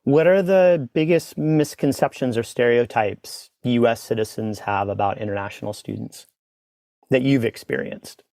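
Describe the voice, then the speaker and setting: A man hosting an interview, asking prepared questions in a measured way. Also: mid-range voice, creaky, a little mellow, dry recording, clean studio sound.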